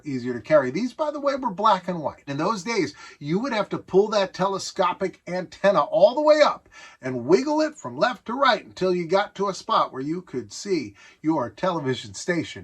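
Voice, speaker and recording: A man's voice: fairly high pitch, fairly bright tone, husky. A middle-aged man with an American accent giving a casual, nostalgic show-and-tell, enthusiastic and conversational with self-amused asides. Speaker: host showing a vintage electronics collection.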